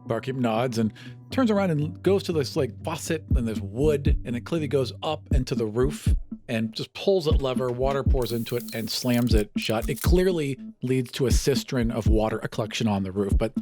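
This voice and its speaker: A man's voice: mid-range voice, moderate pace. Casual male game master over faint music. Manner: casual, conversational description. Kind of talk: improvised narration of a tabletop game.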